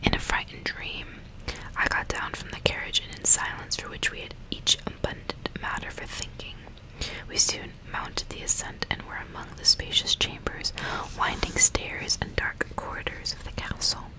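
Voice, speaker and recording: A woman reading a story aloud in a slow, hushed, breathy whisper for ASMR. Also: low-quality recording. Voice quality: breathy, fully unvoiced whisper.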